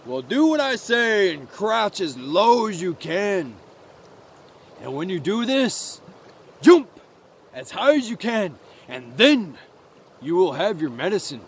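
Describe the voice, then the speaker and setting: A man voicing a calm, sly, wise frog in a story, speaking in a measured, coaxing way. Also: high-pitched, slight background noise, full character voice. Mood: instructing.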